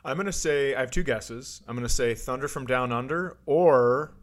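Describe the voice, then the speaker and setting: A man co-hosting a comedy podcast, chatting casually and cutting in with quick jokes. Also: medium pitch. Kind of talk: casual bantering chat.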